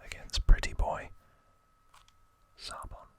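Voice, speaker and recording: Slow whispering man, ASMR. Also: a little mellow. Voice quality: whispered, breathy, unvoiced. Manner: slow, hesitant, informal explaining. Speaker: ASMR video host. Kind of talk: whispered ASMR recap commentary, casual.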